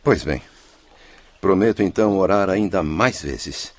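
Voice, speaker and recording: A man's voice: low voice, dry recording. A man with a Brazilian accent playing an anguished, stubborn spirit of a father in a radio drama, pleading emotionally for his family. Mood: bitter, frustrated. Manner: pleading, emotional, drawn-out delivery. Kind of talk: melodramatic radio drama acting.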